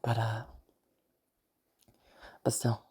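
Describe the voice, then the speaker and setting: A woman playing a detached, eerily calm sociopath who recounts the past in a halting, musing monologue with a husky, creaky voice. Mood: detached reminiscing. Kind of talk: first-person audio roleplay monologue.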